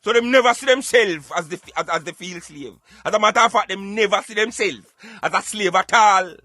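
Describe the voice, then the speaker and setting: A man's voice: sits high, brisk, pushed and bright, dry recording, soft background music. A man with a Jamaican accent hosting a talk show in Patois, giving emphatic, repetitive commentary peppered with catchphrases. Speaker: radio show host.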